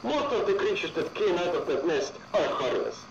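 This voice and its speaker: A formal Russian-accented facility controller gives orders in a high, lively voice. The sound is echoey and dull, with loud noise behind it. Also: male voice, normal speed. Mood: instructing. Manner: formal, instructing.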